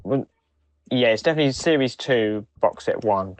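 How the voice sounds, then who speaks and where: A man co-hosting a podcast, talking casually in a hesitant, halting way with repeated starts. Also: mid-range voice, expressive, no echo. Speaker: podcast co-host. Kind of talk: casual chat.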